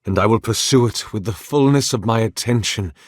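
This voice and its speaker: An actor playing an ancient, weary, devout priest in a video game, speaking formally and eloquently with solemn dignity. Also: male voice, fairly low pitch, fairly quick, dry recording, clean studio sound, close to an everyday voice. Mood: solemn, resolved. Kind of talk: dramatic video game dialogue.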